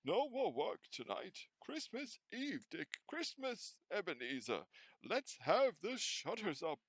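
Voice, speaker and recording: A man's voice: sing-song, takes it slowly, fairly bright tone, no echo, heavily acted. A man voicing a jovial, portly old merchant in a storybook, calling out heartily. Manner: booming, hearty calls.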